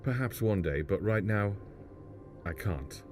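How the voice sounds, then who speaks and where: A man's voice: lowish voice, lively intonation, unhurried, a little mellow, soft background music. A man playing a guarded, blunt travelling companion in a sci-fi audio drama. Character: guarded, plain-spoken male travelling companion.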